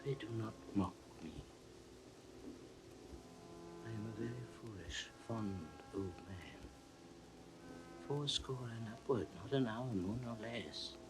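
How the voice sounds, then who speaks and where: A man playing a frail, confused king in his eighties, humbled and remorseful, in classical Shakespeare audio drama style, halting and plaintive. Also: on the low side, animated, over music, poor audio. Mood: humbled, sorrowful.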